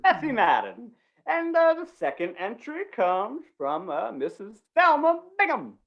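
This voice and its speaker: Woman playing a flustered small-town master of ceremonies, lively swoops. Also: fairly high pitch, muffled recording. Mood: fussy, genial. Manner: dithering, halting, folksy, repeats words. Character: flustered, folksy male small-town contest announcer named Otis. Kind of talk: old-time western radio play acting.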